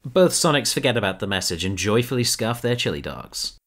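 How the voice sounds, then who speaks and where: A man narrates a fan audio drama in a brisk, descriptive way. His voice is low, with lively rises and falls.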